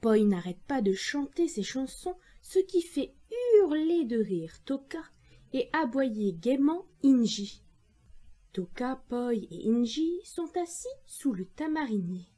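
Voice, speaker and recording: A woman storyteller narrating an audio tale for children in an expressive, scripted reading. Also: high-pitched, slow.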